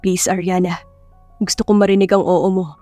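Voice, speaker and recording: A woman voicing a calm, earnest young bachelor in love, speaking softly and reserved in an audiobook romance. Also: on the low side, dry recording, faint music behind, voice pushed down, lightly acted. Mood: earnest, tender. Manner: calm, soft, measured.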